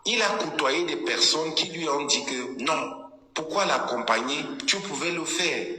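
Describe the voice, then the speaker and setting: A man's voice: on the high side, lively intonation, measured pace. A man from anglophone Cameroon speaking French with an English-speaker's accent, arguing in a heated political debate and often repeating words as he searches for them. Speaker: panelist. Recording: echoey call with reverb and faint noise.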